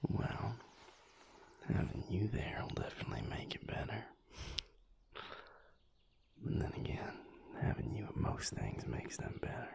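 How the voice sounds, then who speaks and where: A man playing an affectionate, teasing vampire lover in an intimate audio roleplay, speaking softly and unhurriedly in a whispery, gravelly voice. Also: lowish voice, very animated, heavily acted. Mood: fond, amused. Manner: unhurried, soft, drily teasing.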